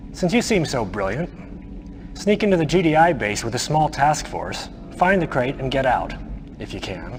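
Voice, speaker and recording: A man playing a smug, menacing militant officer who briefs a subordinate in a video game, theatrical, deliberate and condescending. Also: medium pitch, lightly acted. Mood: resentful, sarcastic.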